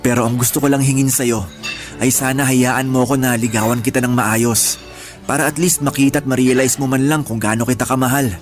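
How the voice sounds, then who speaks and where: An actor plays a devoted young man courting with stubborn sincerity. His medium-pitched voice is earnest and pleading, in radio-drama style, with light music behind it. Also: fairly even intonation, brisk, lightly acted. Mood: hopeful, coaxing. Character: devoted, persistent, earnest young male suitor. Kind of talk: radio romance drama acting. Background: background music.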